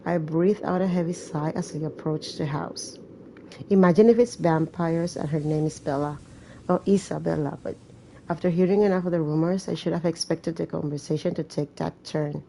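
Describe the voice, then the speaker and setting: A woman's voice: deep voice, steady tone, moderate pace, vocal fry, mellow, a little hiss or hum, poor audio. A woman doing casual gameplay commentary, reading a story aloud with chatty, joking asides. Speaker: gamer playing and reading aloud a horror visual novel.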